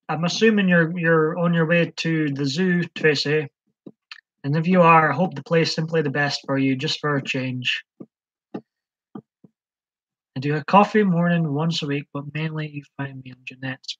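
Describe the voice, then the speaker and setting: A man's voice: a little high, a bit bright. Chatty man on a dull lo-fi stream. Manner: chatty, hesitant, many ums, answers chat comments. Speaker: live-stream host. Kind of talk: casual live-stream chat with viewers.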